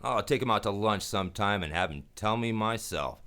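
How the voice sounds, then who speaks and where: A man's voice: on the low side, fairly quick, fairly bright tone, natural voice. A man playing a wry, tough private detective in a 1940s-style hardboiled radio drama. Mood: sardonic, probing. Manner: sardonic, tough, wisecracking. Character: wry, hard-boiled male private detective.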